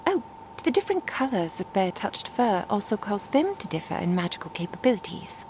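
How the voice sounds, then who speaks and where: A woman playing a curious, composed traveling merchant and master in an ASMR roleplay, speaking in a measured, formal, inquisitive way. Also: medium pitch, big pitch swings, background noise, natural voice. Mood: intrigued, gentle. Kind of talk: ASMR roleplay audio drama.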